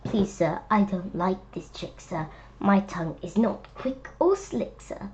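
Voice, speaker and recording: A woman's voice: medium pitch, animated, unhurried, a little mellow, highs cut off, natural voice. A woman voicing a meek, flustered storybook creature who pleads politely and apologetically. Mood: reluctant, flustered.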